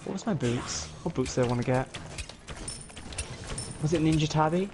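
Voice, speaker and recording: A woman with a lively, up-and-down voice learns a game alongside friends, chatty and full of questions. Game music plays behind her. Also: low-pitched, moderate pace. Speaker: new player. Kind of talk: casual gaming chat.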